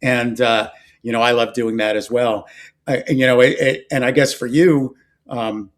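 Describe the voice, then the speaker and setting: A middle-aged American man hosting a casual podcast interview, agreeable and rambling, in halting phrases. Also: mid-range voice, fairly even intonation. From Philadelphia area, US. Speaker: podcast host.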